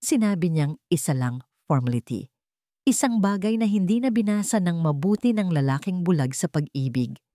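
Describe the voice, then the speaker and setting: A woman narrating a scripted love drama with deliberate, emphatic phrasing. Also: fairly low pitch, lively intonation, warm tone, no room sound, clean studio sound. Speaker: story narrator.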